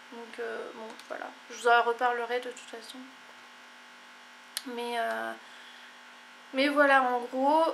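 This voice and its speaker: Young female vlogger, congested and tired, meandering delivery, flat intonation. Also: on the high side, creaky, slight background noise, low-quality recording. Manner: rambling, many fillers and apologies. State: stuffy, congested. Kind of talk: casual chat to camera.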